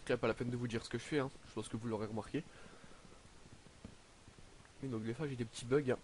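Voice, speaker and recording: A man's voice: mid-range voice, steady tone, fast talker, a little mellow. Teen boy rambling over his game, stuffy from a cold, lots of umms, faint hiss on the mic. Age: teens. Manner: hesitant, lots of "donc, euh" fillers. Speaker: gaming YouTuber. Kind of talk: casual game commentary. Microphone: headset.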